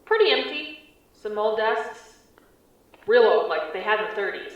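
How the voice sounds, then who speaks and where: Woman acting a cautious lady agent reporting from some creepy building, lively but clipped, muffled, sounds far from the mic. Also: medium pitch, moderate pace. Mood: wary, observant. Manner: terse, observational, conversational. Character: wary female field agent exploring an eerie building. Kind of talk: audio drama field report. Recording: echoey, distant, muffled transmission.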